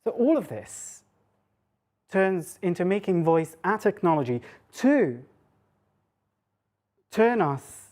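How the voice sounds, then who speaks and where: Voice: mid-range voice, sing-song, slow pace, pauses often, darkish tone. A woman lecturer giving an academic public talk, mixing scholarly phrasing with informal asides.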